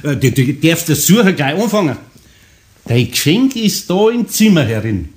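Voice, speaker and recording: A man's voice: fairly high pitch, sing-song, moderate pace. A man playing a kindly, teasing older master craftsman with a Bavarian accent in a German children's radio play. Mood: friendly.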